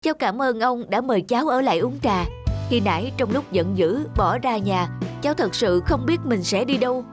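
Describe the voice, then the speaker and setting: An actor plays a young married man in a cartoon. He sounds polite, earnest and troubled. Music runs clearly behind his voice. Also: medium pitch, animated, normal speed, natural voice. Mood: grateful. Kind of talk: cartoon dialogue.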